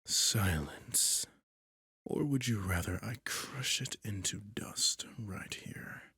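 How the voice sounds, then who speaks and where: A man voicing a possessive, sadistic male vampire who is cruelly seductive, in an ASMR roleplay, talking slowly and domineeringly in a husky, often whispered voice close to the mic. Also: on the low side, sharp tone, no echo, full character voice. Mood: menacing. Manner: slow, purring, domineering.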